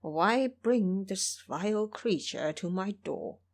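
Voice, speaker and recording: A woman's voice: medium pitch, lively intonation, slow. A suspicious old village man from an audio drama, played by a woman. He is easily frightened and wary, with a blunt, muttering way of talking. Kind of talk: audio drama character acting.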